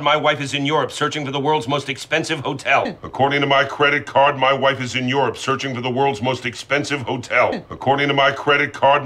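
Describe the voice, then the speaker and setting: A man plays a wry husband with a bright, forceful voice. His delivery is dry. His pitch stays in the middle range. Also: lightly acted. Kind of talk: film dialogue.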